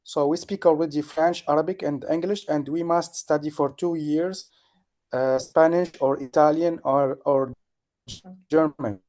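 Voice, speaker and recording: A man chatting casually on a video call, in halting English with many restarts. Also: a little high, steady tone, darkish tone. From Tunisia. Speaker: guest participant. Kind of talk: casual chat.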